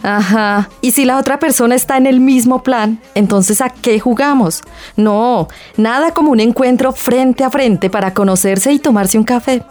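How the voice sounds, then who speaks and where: A woman's voice: a little high, normal speed, darkish tone, soft background music, natural voice. A Colombian woman playing a sceptical, scolding realist in a colloquial scripted radio sketch. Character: sceptical, scolding woman warning against online romance.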